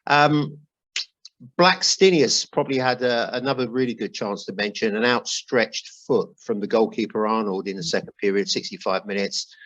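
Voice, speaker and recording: A man's voice: fairly low pitch, normal speed, a bit bright, muffled recording. A man hosting a football podcast in a chatty way with wry asides, reading out notes and emails. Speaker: podcast host.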